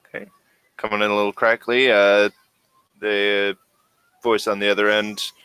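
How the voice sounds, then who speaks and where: A man acting as game master, narrating a tabletop role-playing game in a halting way. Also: on the low side, little pitch movement, sharp tone, clean tone, dry recording, rough recording.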